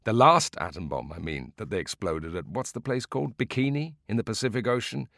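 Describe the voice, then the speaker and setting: A man voicing an old Greek war veteran in a storybook, who tells his tale in a dramatic, digressive, drawn-out way. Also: fairly low pitch, expressive, vocal fry, a bit bright, dry recording, studio quality, voice pushed up. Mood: rambling.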